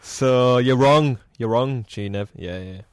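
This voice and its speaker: A man's voice: mid-range voice, takes it slowly, no room sound, studio quality. A man co-hosting a casual football chat podcast, joking and bantering. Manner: chatty, jokey banter.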